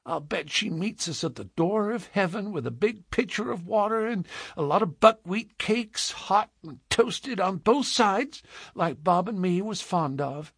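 A man's voice: high voice, lively intonation, measured pace, vocal fry, slightly rough, no echo, studio quality, voice pushed up, heavily acted. A man voicing an innocent little girl in a storybook, with artless, earnest, childlike prattle and a thin voice. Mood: gleeful, trusting. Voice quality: thin, lighter voice.

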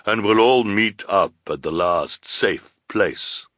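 An imperious, sinister storybook villainess, put on by a male narrator. She gives orders at a deliberate, slow pace. The sound is very dry. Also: on the low side, a bit bright, no room sound. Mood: commanding. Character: commanding, triumphant sinister villainess.

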